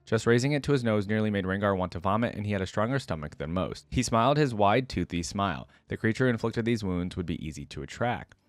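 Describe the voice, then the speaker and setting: Low-voiced man reading a story aloud. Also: expressive, fast, few pauses, dry recording. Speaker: reaction video host.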